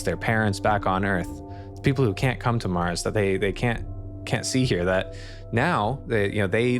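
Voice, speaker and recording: An earnest, doubting woman reporter in a tabletop roleplay, acted out by a man in his own low register. The delivery is hesitant and polite, words often repeated as the questions form. Music plays behind. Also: natural voice. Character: earnest, uneasy young woman reporter, idealistic but doubting. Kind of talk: improvised tabletop roleplay dialogue.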